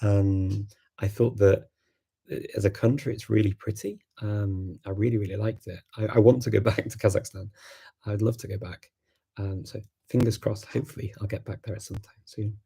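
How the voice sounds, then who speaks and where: A low, gravelly male voice with a mellow, slightly husky tone. He is a livestream host whose first language is Macedonian. He chats easily, thinking aloud and restarting his sentences often. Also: steady tone, normal speed. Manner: chatty, thinks aloud with many ums and restarts. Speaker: livestream host answering viewer questions. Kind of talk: casual live chat.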